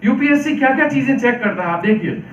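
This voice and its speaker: A man with a hoarse voice lectures a seminar hall, laying out his points one by one and tossing questions to the listeners. His voice sits fairly high for a man and rings around a roomy space. It comes through a faulty mic from a distance, with a dull, muffled sound and faint noise behind it. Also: animated, quick pace. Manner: explains point by point, asks questions to the audience. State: hoarse throat. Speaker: civil services exam coaching teacher. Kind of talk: seminar lecture to exam aspirants. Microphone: faulty microphone.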